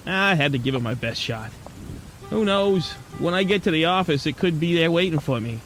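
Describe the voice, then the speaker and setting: Man playing a high-voiced wisecracking noir penguin detective. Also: expressive, moderate pace, a bit bright, slight background noise, poor audio. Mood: wry, reflective. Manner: streetwise slangy wisecracking delivery. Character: wisecracking penguin private detective, adult male, lovestruck and world-weary. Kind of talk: hard-boiled noir radio drama narration.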